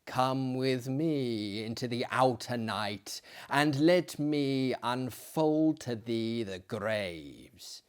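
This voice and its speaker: A nameless gothic fiend, as a male reader puts him on, wretched and shuddering. He declaims in archaic phrases, very slowly and mournfully, at a middling pitch. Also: lively intonation, clean tone. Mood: anguished.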